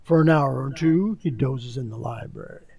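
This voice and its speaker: Gravelly, dark-voiced male mystery narrator. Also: medium pitch. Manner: measured storytelling in present tense. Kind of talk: dramatic radio mystery narration.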